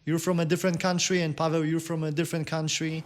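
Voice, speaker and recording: A man's voice: fairly high pitch, flat delivery, quick pace. A man hosting an interview, asking long, meandering questions. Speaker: interview host.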